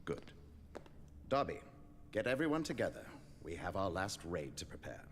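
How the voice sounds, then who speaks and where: An actor playing a commanding, sociopathic dark wizard leader in an audio fantasy drama. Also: male voice, deep voice, big pitch swings, normal speed, a little hiss or hum. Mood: conspiratorial, commanding.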